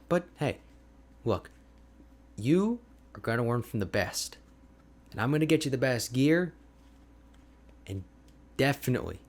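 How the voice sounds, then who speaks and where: A man playing an energetic, friendly young ice mage mentor in an ASMR roleplay, talking to the listener in an animated, informal, chatty way. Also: medium pitch. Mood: encouraging. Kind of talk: ASMR roleplay audio drama, addressing the listener.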